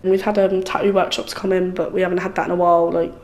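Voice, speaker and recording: A woman's voice: lowish voice, level tone, quick pace, a little mellow. A 20-year-old woman, speaking bluntly in personal testimony for a short film. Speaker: young film participant.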